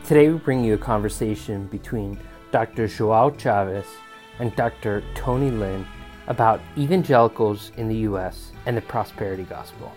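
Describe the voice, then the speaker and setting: A man with a low, mellow voice reads a podcast intro in a steady, scripted way. Soft guitar music plays faintly behind him. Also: moderate pace. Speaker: podcast announcer.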